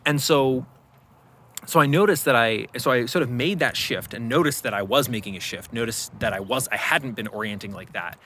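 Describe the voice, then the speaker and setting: A man in casual, reflective conversation, animated and prone to acting out inner voices as he explains. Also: medium pitch, normal speed, slight background noise.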